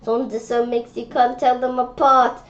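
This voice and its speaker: A woman's voice: a little high, little pitch movement, moderate pace, dull audio. A woman reading a rhyming picture book aloud informally, often stumbling over words and repeating phrases. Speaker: children's book read-aloud reader.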